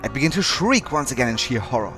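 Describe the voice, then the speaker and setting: A man's voice: medium pitch, sing-song, fast, over music, lightly acted. A man voicing an angsty, average teenage schoolboy, narrating a visual novel parody with mock-dramatic earnestness. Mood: dismayed.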